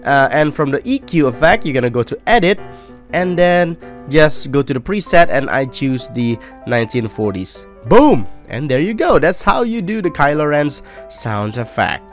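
A young adult man talking casually to camera as a tutorial host, in an animated, jokey, excitable manner. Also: a little high, moderate pace, faint music behind. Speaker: host of video-effects tutorials. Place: small indoor studio room.

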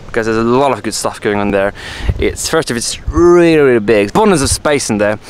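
A man's voice: mid-range voice, animated, fairly quick. A man presenting an informal walkthrough of his boat as its owner, explaining things casually and correcting himself as he goes. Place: outdoors on an open motorboat on the water. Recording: outdoor ambient noise on boat.

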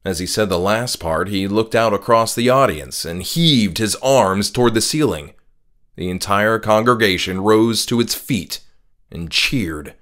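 Slow, dramatic male horror narrator. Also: on the low side, lively intonation, a bit bright. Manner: measured, dramatic storytelling. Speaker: author reading his own novella. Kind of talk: scripted horror audiobook narration.

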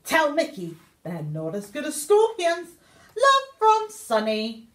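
Woman reading a kids story, super expressive, high voice, slow, echoey room. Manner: exaggerated, theatrical delivery. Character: young male meerkat writing eager letters home. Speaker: teacher reading a picture book aloud.

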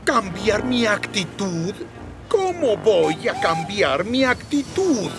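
A vain, grumbling cartoon train with a Latin American accent. The delivery is whiny and indignant, with lively swoops at a measured pace. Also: male voice, high voice, over music, heavily acted. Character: vain, grumbling male steam engine. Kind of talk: cartoon voice acting.

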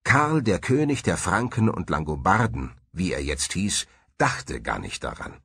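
Male history narrator, low husky voice, dramatic and emphatic scripted delivery. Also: very animated, a little slow, a bit bright, no echo, studio quality. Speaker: documentary narrator.

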